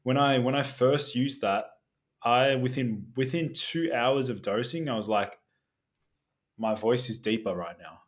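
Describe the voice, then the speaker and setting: An Australian-accented man appears as a guest on a podcast, chatting in a relaxed, easygoing way. He uses casual fillers and jokes lightly. His voice has an ordinary pitch for a man. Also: measured pace. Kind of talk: casual interview chat.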